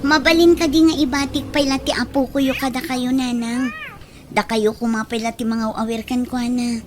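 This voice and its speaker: A woman's voice: fairly high pitch, flat delivery, normal speed, over music, lightly acted. Pleading young Filipino mother played by an actress. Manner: pleading, earnest. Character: pleading young mother, determined to work abroad. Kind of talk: radio drama acting.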